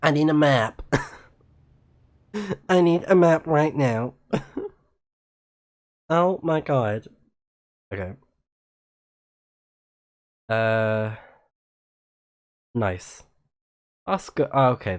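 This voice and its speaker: A man livestreaming a video game, reading on-screen text aloud haltingly and muttering casual reactions. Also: mid-range voice, animated.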